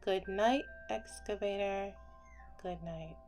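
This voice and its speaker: A woman reading a children's picture book aloud as a bedtime story, expressive and gentle, with deliberate phrasing. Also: mid-range voice, soft background music.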